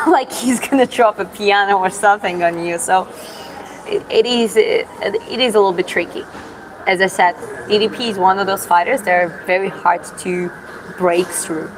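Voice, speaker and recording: A woman's voice: medium pitch, fairly even intonation, moderate pace, noisy background. A young woman from Georgia with a Georgian accent, chatting on a livestream, answering comments with many fillers and restarts. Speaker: livestream host. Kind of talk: casual livestream chat reading comments.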